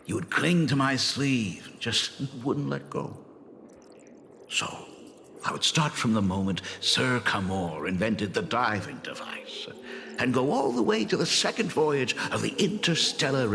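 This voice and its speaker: Gravelly, gentle aging father. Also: mid-range voice, noisy background. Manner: tender, wistful, chuckling storyteller. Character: gentle, nostalgic aging father and school principal. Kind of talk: video game voice acting. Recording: music under the dialogue.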